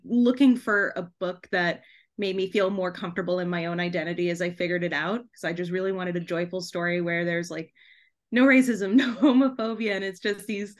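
A woman chatting casually and effusively as an interview guest on a podcast, in a giggly, informal way. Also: fairly low pitch. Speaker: guest author and podcast host.

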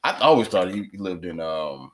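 A man's voice: low voice, sing-song, normal speed, fairly bright tone, a little hiss or hum. A man hosting a podcast, joking around and laughing often in loose, rambling chat. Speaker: podcast host.

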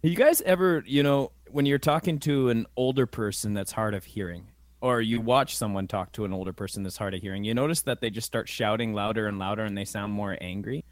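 A man's voice: fairly low pitch, fairly quick, dry recording. A man co-hosting a podcast, chatting casually and playfully. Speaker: podcast co-host. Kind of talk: casual chat.

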